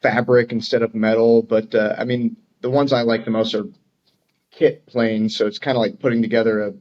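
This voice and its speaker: A man's voice: medium pitch, level tone, moderate pace, highs cut off. An American man talking as a podcast guest in casual chat, easygoing, plain-spoken and loosely phrased. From Dayton, Ohio, USA. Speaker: podcast guest, air traffic controller.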